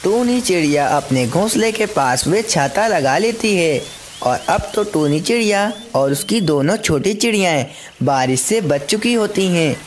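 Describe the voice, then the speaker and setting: A woman's voice: fairly low pitch, expressive, measured pace, a little hiss or hum. A woman reading scripted narration for a children's cartoon story.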